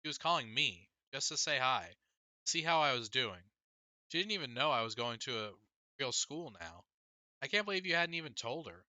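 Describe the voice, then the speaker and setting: Sullen young schoolboy, played by a male narrator: defiant, curt, dismissive, sharp bright tone, dry recording. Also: mid-range voice, sing-song, normal speed, dry recording, voice pushed up. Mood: pointed. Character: stubborn, sullen young schoolboy, a little brother. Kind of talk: audiobook character dialogue.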